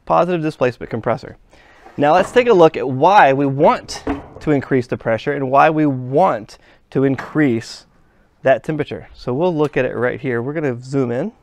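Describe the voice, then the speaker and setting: A man's voice: mid-range voice, moderate pace, no echo. A man teaching a hands-on trade lesson, explaining step by step as he works with parts at a workshop bench. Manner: plain, step-by-step explaining while handling parts. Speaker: HVAC instructor. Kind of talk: hands-on technical demonstration.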